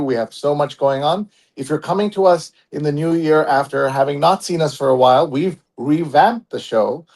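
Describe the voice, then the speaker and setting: A man with a mid-pitched voice hosts a live talk show. He speaks conversationally to his co-host and to the viewers, moving between chat and announcements. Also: darkish tone.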